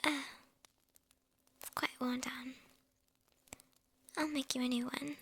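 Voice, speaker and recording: Woman playing a slow, whispering, possessive witch. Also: high voice, level tone, a bit bright, full character voice. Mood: bossy, amused. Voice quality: breathy, mostly whispered, creaky. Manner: unhurried, coy, amused, addressing the listener intimately. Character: lonely, possessive young witch, slyly menacing and teasing. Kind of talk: whispered ASMR roleplay.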